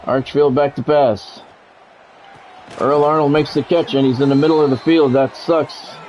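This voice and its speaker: A man streaming a football video game, giving excitable play-by-play and bluntly talking at the players in short, urgent, repeated phrases. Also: fairly high pitch, normal speed, a little hiss or hum, low-quality recording. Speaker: gaming streamer doing play-by-play.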